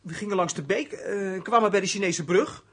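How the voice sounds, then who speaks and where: A man playing a simple young country lad in a radio play, halting, stammering and excitable. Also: high-pitched, slightly rough, heavily acted. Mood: excited recounting. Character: simple, flustered country lad, rambling and blurting.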